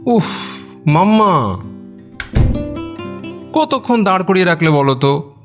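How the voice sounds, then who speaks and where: A man's voice: high-pitched, expressive, slow, over music. An actor playing a whiny but affectionate young son in a radio drama, complaining to his mother. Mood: playful, complaining. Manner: complaining, whining.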